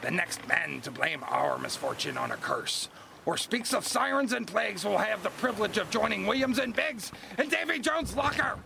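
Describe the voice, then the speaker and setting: A gravelly, high-pitched ship's captain in a period audio drama. He is short-tempered and domineering, swinging widely as he barks orders, with noisy ship ambience behind him. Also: very animated, moderate pace, full character voice. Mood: furious. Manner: barking, cursing, threatening commands.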